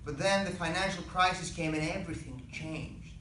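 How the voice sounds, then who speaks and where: A young man gives a prepared speech, earnest and measured, leaning hard on key phrases. His voice sits slightly high. It is recorded from across an echoey lecture hall with a faint hiss underneath. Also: sharp tone. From Greece. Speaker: student speaker. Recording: echoey room, speaker far from mic, faint background noise.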